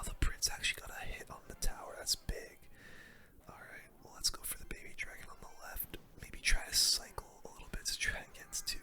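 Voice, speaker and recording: A gamer giving ASMR game commentary in a breathy, unvoiced whisper, with casual slang. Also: sharp tone. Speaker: gaming video creator.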